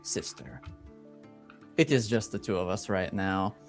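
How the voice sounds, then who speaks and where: A man playing a haughty, shrewd duke in a tabletop game, formal, deliberate and skeptical. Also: deep voice, faint music behind, voice pushed down. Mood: stern, confronting.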